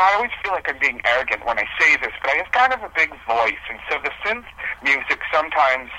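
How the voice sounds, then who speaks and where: A man's voice: medium pitch, bright, forceful tone, dry recording, low-quality recording. A man speaking as a musician guest in a casual interview, hesitant and self-deprecating as he explains himself.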